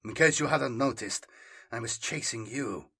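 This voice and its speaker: Man voicing an uneasy, defensive scribe, slightly husky. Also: mid-range voice, normal speed, bright, forceful tone, exaggerated voice. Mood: snappish, defensive. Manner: defensive, snappish, hesitant. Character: uneasy, resentful male scribe out of his depth. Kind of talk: audiobook character dialogue.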